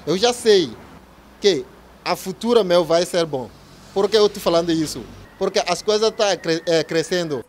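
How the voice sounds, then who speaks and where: Man from Togo, halting non-native speech. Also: high voice, slight background noise. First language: not Portuguese. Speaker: interviewee, tailor. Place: small clothing shop.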